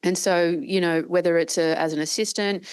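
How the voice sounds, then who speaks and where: A croaky-voiced woman speaks on a conference panel, her pitch sitting a little low. She rambles through a long answer, with plenty of fillers and restarts. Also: steady tone, moderate pace, darkish tone. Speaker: panelist, company founder.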